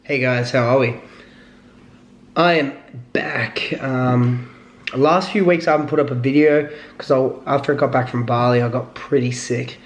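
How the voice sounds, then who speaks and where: A man with a slightly hoarse voice, talking casually to the camera as a fitness vlogger, rambling with lots of fillers. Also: medium pitch, fairly even intonation, moderate pace, echoey room. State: hoarse from illness.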